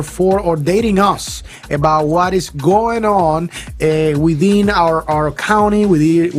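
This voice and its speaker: A man hosting an upbeat morning radio show, switching between Spanish and English with an animated announcer delivery. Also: on the high side. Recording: faint music bed behind. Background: faint music.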